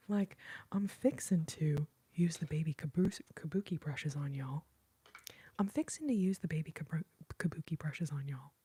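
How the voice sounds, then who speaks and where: A woman from Texas whispers close to the microphone in a very breathy, husky hush. Her voice is mellow and dark, and she talks slowly and gently. She chats with her livestream viewers and often giggles. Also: low voice. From Austin, Texas. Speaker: ASMR livestream host. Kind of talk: whispered ASMR livestream chat.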